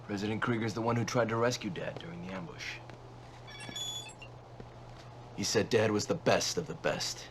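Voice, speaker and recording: An actor playing an authoritative, serious military commander who mentors a recruit in a scripted game cutscene, speaking firmly. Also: male voice, on the low side, fast, background noise, lightly acted. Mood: proud, firm. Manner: firm, instructing. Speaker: commanding officer.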